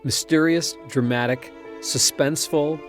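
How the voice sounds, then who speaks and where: A man's voice: on the high side, fairly even intonation, measured pace. A man talking earnestly in an interview, explaining a dramatic story. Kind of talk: promotional interview talk about a drama. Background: music.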